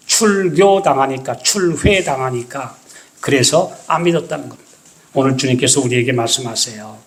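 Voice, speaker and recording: A man preaching with a voice pitched a little above the usual male range. He delivers his sermon as a pastor, leaning into his words and stretching out phrases for emphasis. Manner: emphatic storytelling with dramatic slow stresses.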